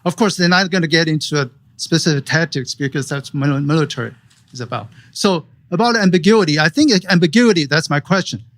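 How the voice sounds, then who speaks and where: A man from Taiwan, asking a question from the audience at a public forum, halting and restarting often. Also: on the high side, lively intonation, a bit bright. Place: event hall audience floor.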